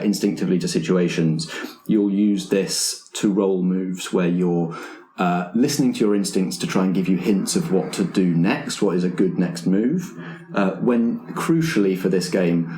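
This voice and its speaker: A low-pitched man serves as game master for a tabletop roleplaying game. He lays out the rules to his players in a halting, explanatory way. The sound is roomy, with clear echo. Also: dark tone. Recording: roomy, echoing room sound.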